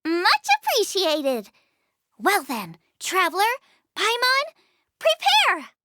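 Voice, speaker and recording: A woman voicing an excitable, cheerful and bossy girl chef in an animated video game, perky and emphatic. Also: extremely high pitch, takes it slowly, background noise, full character voice. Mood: brisk, determined.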